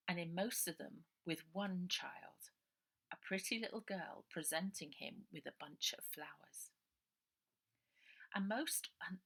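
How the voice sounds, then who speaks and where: A woman reading her novel aloud as dramatic, expressive and suspenseful storybook narration. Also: on the low side, creaky, slightly rough. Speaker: author reading own novel.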